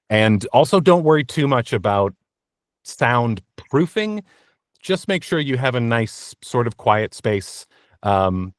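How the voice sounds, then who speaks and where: A man's voice: mid-range voice, no room sound. Male interview guest, conversational and practical, explains things plainly, close dry recording. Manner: practical, explanatory advice-giving.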